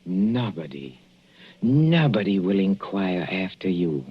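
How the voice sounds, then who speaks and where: An actor playing a gloating, murderous older professor in 1940s radio suspense style, mock-courteous and savoring every hesitation. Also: male voice, low-pitched, big pitch swings, takes it slowly, husky, heavily acted. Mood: gloating, vengeful. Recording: muffled, dull old radio recording.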